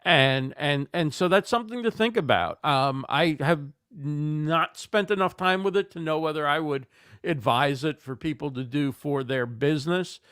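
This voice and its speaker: Male interview guest, somewhat high and smooth, slightly hoarse, halting and thoughtful. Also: a bit bright, no echo, clean studio sound. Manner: thoughtful, with frequent fillers and repeated words. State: slightly losing his voice. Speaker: guest expert on livestreaming. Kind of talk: conversational interview answers.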